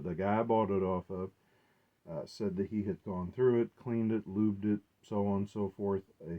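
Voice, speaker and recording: Low-voiced man, rambling casually, dull recording. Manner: halting, unscripted, thinking aloud. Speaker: collector presenting his gear. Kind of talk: casual rambling show-and-tell. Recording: dull, muffled lo-fi sound.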